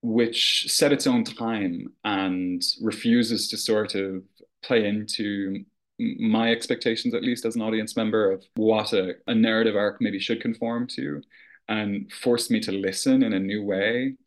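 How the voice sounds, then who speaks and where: A man with a fairly low voice talks as a guest on a theater podcast. He is earnest and reflective, and his sentences often restart with "you know.". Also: fairly even intonation, unhurried. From rural Ireland. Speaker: artistic director, guest. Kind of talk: conversational podcast interview.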